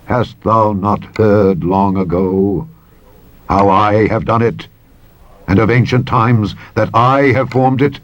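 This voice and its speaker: A man narrating scripture from a script in a solemn, formal manner. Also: on the low side. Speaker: audiobook Bible narrator. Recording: vehicle engine noise behind the voice. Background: truck driving sounds from a simulator game.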